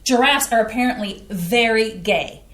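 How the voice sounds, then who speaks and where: A woman's voice: a little high, moderate pace, fairly bright tone, lightly acted. A woman playing a folksy churchgoing mom. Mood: soothing. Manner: soothing, folksy. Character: warm, churchgoing middle-aged mother and wife. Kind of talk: staged play reading. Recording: roomy playhouse stage sound.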